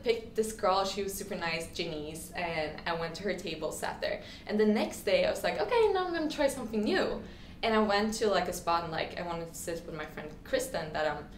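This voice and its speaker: A woman's voice: lowish voice, expressive, brisk. A woman in her twenties from Sweden, a guest telling her story in a casual interview, chatty and full of "like" and restarts, often acting out what people said. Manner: chatty, lots of "like" and restarts, acts out quotes. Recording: echoey room, faint ambient noise.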